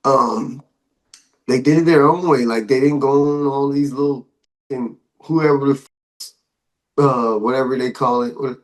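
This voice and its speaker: A man's voice: medium pitch, steady tone, unhurried, pauses often, poor audio. A man co-hosting a casual podcast, giving slangy, opinionated takes on hip-hop and pop culture news with exaggerated asides. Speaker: podcast co-host.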